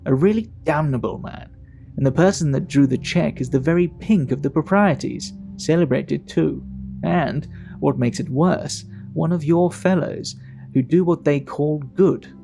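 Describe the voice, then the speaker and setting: A talkative, worldly English gentleman of the Victorian era in an audio drama. His voice is mellow and gravelly, with music underneath. He tells his tale in measured, fastidious fashion, like a practised gossip. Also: on the high side. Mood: scornful, gossipy.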